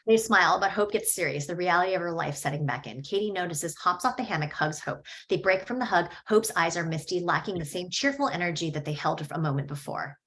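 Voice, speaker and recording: A woman reading the stage directions at a script table read, in an even, matter-of-fact way. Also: fairly low pitch, brisk, few pauses.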